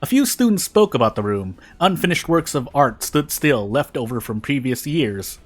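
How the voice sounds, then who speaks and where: A man reading a story aloud in deliberate phrases with short pauses. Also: mid-range voice, sing-song. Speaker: fan fiction reader on a video channel. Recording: music behind the voice.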